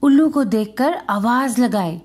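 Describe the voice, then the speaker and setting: A woman with a very high-pitched voice tells a children's tale. She narrates from a script as a storyteller. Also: expressive, a little mellow.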